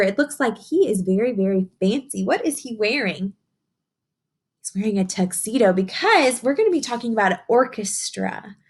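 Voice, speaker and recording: Woman, medium pitch, highly varied intonation, enthusiastic teacher, children's picture book read-aloud, encouraging, expressive. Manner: expressive, drawn-out reading with simple questions to listeners. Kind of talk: read-aloud lesson addressed to young children.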